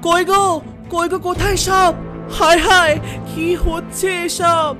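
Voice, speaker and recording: A woman's voice: very high-pitched, steady tone, measured pace, over music. A woman voicing a distraught village auntie crying out in a wailing, exclamatory way in a horror cartoon. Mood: panicked. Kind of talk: dramatized cartoon dialogue.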